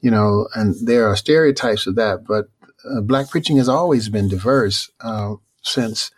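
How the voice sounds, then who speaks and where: A thoughtful preacher talking things through in an interview, pausing and restarting as he finds his words. His voice sits a little low for a man but is not booming or deep. He speaks steadily and without showmanship. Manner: thoughtful, unhurried, many fillers and restarts. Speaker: preacher and author, interview guest. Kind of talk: reflective conversational interview answers.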